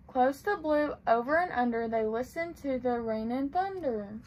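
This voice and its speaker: A young woman with a clearly high voice reads a picture book for young children. She tells it in a sing-song way, talking simply to little listeners. There is faint room noise behind her. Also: animated, moderate pace, a little mellow. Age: young adult. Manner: sing-song storytelling with simple questions and explanations for small children. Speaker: playschool teacher reading aloud. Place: small tiled indoor room.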